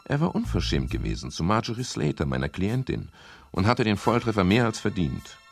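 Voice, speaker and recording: An actor playing a wry, hard-boiled private detective, narrating his case in a dry, deliberate, ironic way for a radio crime drama. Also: lowish voice, very animated, vocal fry, soft background music. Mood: wry, measured. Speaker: first-person narrator.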